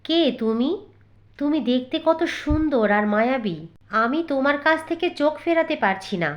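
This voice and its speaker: A woman acts a meek young daughter-in-law in a cartoon fairy tale. Her voice is high and plaintive. She comes across as humble and sorrowful. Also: lively intonation, moderate pace. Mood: awed.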